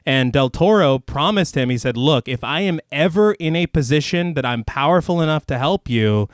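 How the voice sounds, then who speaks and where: Man, podcast co-host, smooth resonant voice, dry close sound, getting over the flu, laid-back swearing. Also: fairly high pitch, normal speed, no room sound. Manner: relaxed, swears casually, laughs often. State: recovering from flu. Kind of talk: casual film discussion.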